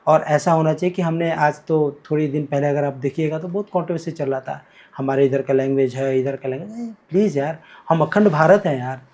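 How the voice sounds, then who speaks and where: Man from Bihar, fairly high voice, enthusiastic teacher, explains step by step, mixes Hindi and Marathi. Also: brisk, a little mellow. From Bihar, India. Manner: explains by breaking down verses, switches between Hindi and Marathi. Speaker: guest teacher, academy cofounder. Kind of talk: podcast conversation.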